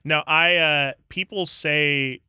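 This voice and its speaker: A man's voice: fairly high pitch, fairly even intonation, slow, heavy background noise. A man from Southern Ohio with an Ohio accent, hosting a podcast in a laid-back way, swearing casually and filling with "you know". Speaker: podcast host.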